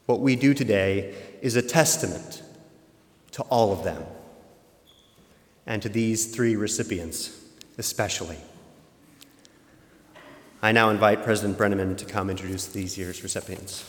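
Man, alumni board chair, deliberate ceremonial speech, roomy reverberant hall. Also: on the low side. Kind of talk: formal ceremonial address. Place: large auditorium. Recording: echoey auditorium with long reverb.

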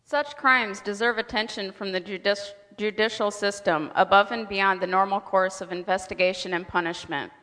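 Woman reading a prepared testimony, average pitch, echoing hall. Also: steady tone, normal speed, hardly any pauses, a bit bright. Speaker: speaker in a readers' theater presentation. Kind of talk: rehearsed testimony read aloud. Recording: echoing hall with long reverb.

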